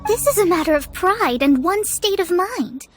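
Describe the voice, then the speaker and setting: Haughty high-voiced young astrologist. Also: female voice, lively intonation, normal speed. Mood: indignant, lofty. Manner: formal, haughty, theatrical. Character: proud young female astrologist, lofty and self-assured. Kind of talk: video game voice acting. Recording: music behind the voice.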